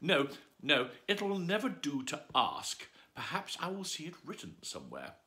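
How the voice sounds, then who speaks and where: A man's voice: fairly high pitch, huge pitch swings, moderate pace, sharp tone, full character voice. A man voicing a curious little girl who chatters and wonders aloud in a storybook reading. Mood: fretful.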